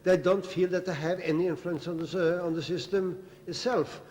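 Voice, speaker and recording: A man's voice: sits high, fairly even intonation, normal speed, a little mellow. A man on a debate panel, building a reasoned, argumentative case in reply to a question. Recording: hall reverb at a festival debate.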